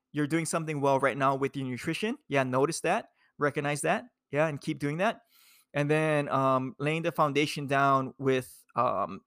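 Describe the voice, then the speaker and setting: A young man from Hawaii talks nutrition with his viewers in an easygoing coach's ramble, full of "um"s and "dude"s. His voice sits at an ordinary middle pitch. The recording is dry and close, with no room sound. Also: fairly bright tone, dry recording. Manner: laid-back, rambling, full of fillers and "dude". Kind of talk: casual talk to viewers.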